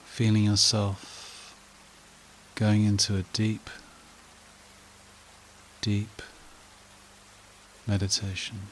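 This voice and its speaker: A man leading a guided meditation and hypnosis in a hushed, soothing, repetitive way with long pauses. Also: on the low side, monotone, a little hiss or hum, low-quality recording.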